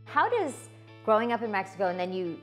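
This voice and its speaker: Enthusiastic woman host interviewing a guest, playful, mid voice, soft music under it. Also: mid-range voice, big pitch swings, fairly quick. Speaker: cooking show host. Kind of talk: casual interview chat.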